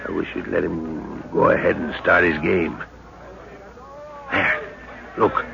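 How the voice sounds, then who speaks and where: A middle-aged actor playing a stern male father leading a jungle expedition in a 1930s radio drama, gruff, commanding and scornful. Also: deep voice, steady tone, takes it slowly, bright, forceful tone, heavily acted. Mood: tense. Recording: dull old radio recording with hiss.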